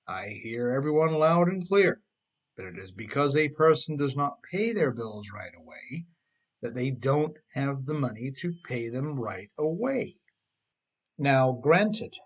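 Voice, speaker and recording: A man narrates his own audiobook at a slow, deliberate pace. His voice sits in a medium register with a husky grain. The delivery is scripted yet conversational, leaning on emphasized words and rhetorical questions. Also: medium pitch. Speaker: author reading own book.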